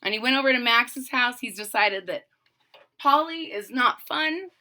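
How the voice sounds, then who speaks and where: A woman's voice: on the high side, expressive, normal speed, bright, forceful tone. A woman reading a children's story aloud, narrating expressively and emphatically and adding simple explanations. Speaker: storybook reader.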